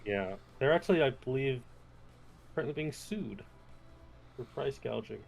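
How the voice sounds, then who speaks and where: A man's voice: mid-range voice, lively intonation, a little slow, creaky, over a noisy crowd. A man co-hosting a casual gaming talk show, making dry, blunt remarks. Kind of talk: casual chat.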